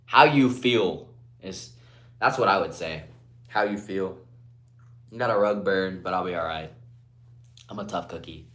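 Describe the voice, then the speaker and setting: A young man from Guatemala on a casual live stream, reading comments aloud and answering in a laid-back way, often trailing off quietly, through a phone propped on a ledge. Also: deep voice, measured pace, long pauses. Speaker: livestreamer.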